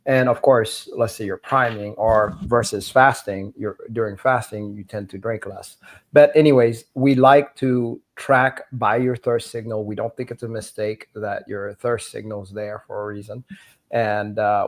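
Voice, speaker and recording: A man with a slightly low voice answering viewer questions in a casual live chat. He talks loosely and hesitantly, often restarting and repeating words. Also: fairly even intonation, moderate pace.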